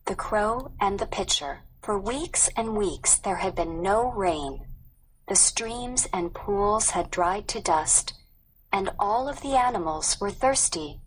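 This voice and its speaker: A woman reading a scripted storybook narration in a measured, careful manner. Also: medium pitch, animated, husky, poor audio. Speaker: audiobook narrator.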